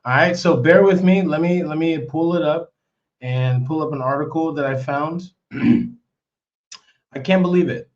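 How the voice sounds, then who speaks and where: A young man hosts a casual live stream, his voice hoarse and worn out. He talks in an excitable, chatty way and repeats himself often. The audio is slightly dull and lo-fi, like a home computer mic. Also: fairly high pitch, measured pace.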